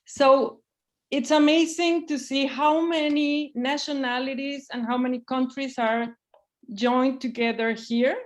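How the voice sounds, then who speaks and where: A woman's voice: high-pitched, steady tone, unhurried. A woman from Mexico hosting an online artist talk over a video call, friendly and a little hesitant, with restarts.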